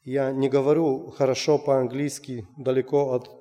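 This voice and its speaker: A man telling a missionary testimony, halting with many restarts. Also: mid-range voice, little pitch movement, mellow. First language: Russian. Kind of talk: personal testimony. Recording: long hall reverb.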